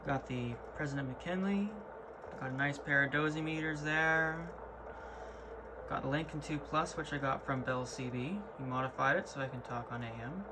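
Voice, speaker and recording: A man's voice: medium pitch, normal speed. A man giving an informal, matter-of-fact, slangy hobbyist tour of his gear in an unscripted way, recorded indoors. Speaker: hobbyist presenter. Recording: clearly noisy amateur video recording.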